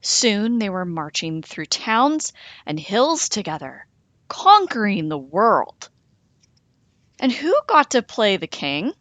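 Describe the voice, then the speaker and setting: A woman reading a children's story aloud in a dramatic, animated way with deliberate pauses. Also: mid-range voice, dry recording.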